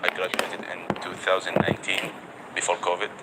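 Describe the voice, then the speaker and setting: A young man from Saudi Arabia with a very low, deep voice talks about his studies, chuckling between phrases. Heavy background noise runs under him. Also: monotone, a little fast. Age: 20s. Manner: good-humored, laughs between phrases. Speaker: interview guest, graduate. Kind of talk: casual interview answers.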